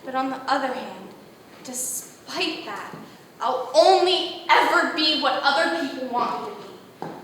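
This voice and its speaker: A woman's voice: high-pitched, expressive, a little slow, fairly bright tone, slight background noise. A woman voicing an articulate, pleading android woman built to look nineteen, heard distant with a long echoey reverb. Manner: articulate, pleading, increasingly distraught.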